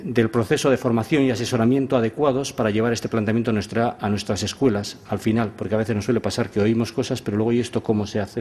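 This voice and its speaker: A man moderating an event who formally introduces the guest speaker, pausing often on filler sounds. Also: lowish voice, a little mellow. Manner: formal, with frequent filler hesitations.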